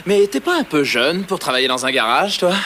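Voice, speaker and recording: A man's voice: a little high, animated, a little fast, pushed and bright, slight background noise. A man playing a wisecracking, sceptical teenage boy in a French cartoon dub, with a teasing way of talking. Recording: music behind the voice.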